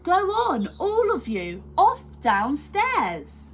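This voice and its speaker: A woman voicing a weary, exasperated mother elephant in a children's story, firm and long-suffering with drawn-out emphasis. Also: very high-pitched, huge pitch swings, slight background noise, voice pushed up, heavily acted.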